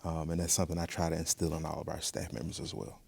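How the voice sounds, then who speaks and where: A man giving measured, earnest answers as an interview guest. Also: a bass voice, little pitch movement, creaky, a little mellow. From Mississippi, United States. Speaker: museum director, interview guest.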